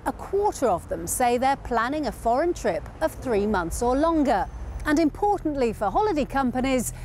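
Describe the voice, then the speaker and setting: A woman presenting a travel show, reading a bright, lilting script. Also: on the high side, a little hiss or hum.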